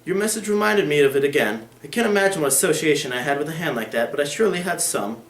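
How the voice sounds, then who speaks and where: Casual: guy doing a bored posh lady, drawly and lofty, voice pushed up high, roomy echo. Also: lively intonation, fast talker, fairly bright tone. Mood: languid. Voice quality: lofty, languid. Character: haughty aristocratic lady, languid and cool. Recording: echoey room.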